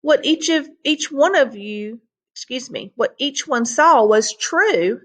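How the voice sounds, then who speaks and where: A woman reading as a calm trickster man. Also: high-pitched, slow, voice pushed up, heavily acted. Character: trickster man in a two-color coat.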